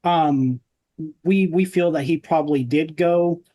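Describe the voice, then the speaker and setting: A man hosting a podcast in casual conversation, speaking in a halting, rambling way. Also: a little high, dark tone. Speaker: podcast host.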